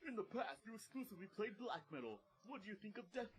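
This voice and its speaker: A fed-up interviewer, as a man puts him on, pitched high and firing off questions at speed. He keeps trying to finish his questions and sounds impatient. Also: big pitch swings, fast, rough recording. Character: exasperated young male music interviewer.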